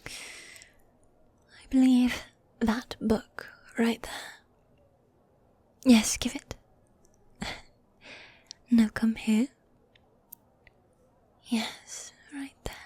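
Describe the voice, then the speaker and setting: Needy goth demon girlfriend, played by a woman, ASMR roleplay: dark velvety whisper, unhurried, teasing and affectionate. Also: on the high side, level tone, no echo, exaggerated voice. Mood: coaxing, tender. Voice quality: soft, breathy, often whispering. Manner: affectionate, coaxing, drawn-out genteel phrasing. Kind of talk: medieval fantasy ASMR roleplay.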